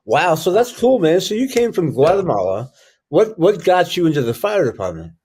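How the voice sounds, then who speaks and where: A man's voice: a little high, sing-song, moderate pace, darkish tone, husky. A man hosting a casual interview, chatty and loose, thinking aloud with frequent ums. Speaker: host.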